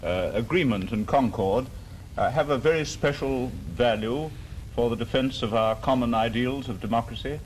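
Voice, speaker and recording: Halting interviewer, middling male pitch. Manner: halting, roundabout, deferential. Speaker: press interviewer. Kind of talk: formal broadcast interview questioning. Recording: faintly noisy 1950s archival broadcast.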